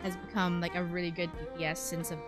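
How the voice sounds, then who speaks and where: A woman's voice: fairly low pitch, level tone, quick pace. A woman streamer giving casual, chatty gaming commentary with a playfully sarcastic manner. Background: loud game music.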